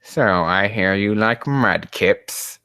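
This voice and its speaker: A man's voice: on the low side, slow, bright, forceful tone, clear, resonant tone, no echo. Man, casual reading with commentary, exasperated, rambling, swears freely, trips over words, very little room sound. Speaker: host reading and critiquing amateur fan fiction. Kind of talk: casual read-aloud with mocking commentary.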